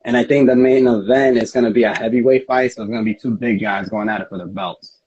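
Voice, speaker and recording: A man's voice: medium pitch, fairly even intonation, fast, a little mellow, a little hiss or hum. A man speaking casually as a fighter being interviewed, telling things in an earnest, rambling way. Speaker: MMA fighter, interview guest. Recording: dull lo-fi audio.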